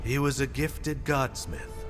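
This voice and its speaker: An actor playing an earnest, cautious adviser to the hero in a fantasy game, speaking deliberately and solemnly. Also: male voice, mid-range voice, animated. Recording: long reverb over music.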